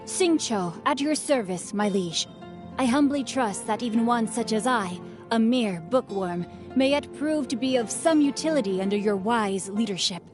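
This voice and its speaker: A woman's voice: on the high side, animated, normal speed, over music, natural voice. Refined, bookish teenage boy acted by a woman. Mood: humble, formal. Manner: polite, literary, well-spoken. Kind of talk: scripted game character voice lines.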